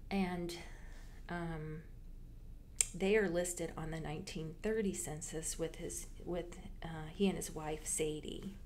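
A woman who works as a library genealogist, walking listeners through a slideshow presentation in an unhurried, informative way with frequent fillers and restarts. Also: deep voice, fairly even intonation, a little mellow, a little hiss or hum.

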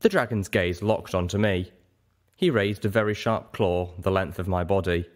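A man reading scripted story narration in a theatrical, expressive way. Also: deep voice, normal speed. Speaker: audiobook narrator. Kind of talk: scripted, dramatic fan fiction narration.

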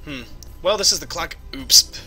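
A man's voice: a little high, lively intonation, normal speed, pushed and bright. A young man casually commenting on a game he plays, goofy and jokey, chatting to the characters on screen. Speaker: let's play host. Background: game music.

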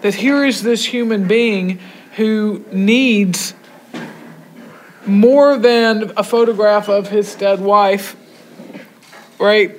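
A woman's voice: medium pitch, drawn-out words, pauses often, a little hiss or hum. A woman leading a class discussion as a writing teacher, emphatic and checking in with rhetorical questions. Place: room inside a prison. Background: soft guitar music.

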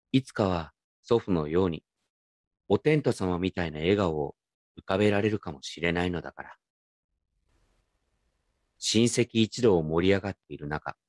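A man playing a gentle, nostalgic grown man who narrates his memories in a reflective, unhurried radio drama style. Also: low-pitched, dry recording. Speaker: narrator and lead actor. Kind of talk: first-person radio drama narration with character dialogue.

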